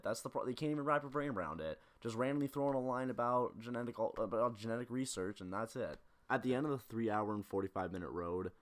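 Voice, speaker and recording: A man with a fairly low voice narrates a film analysis video. He is blunt and opinionated, sliding between scripted essay and casual, sweary commentary.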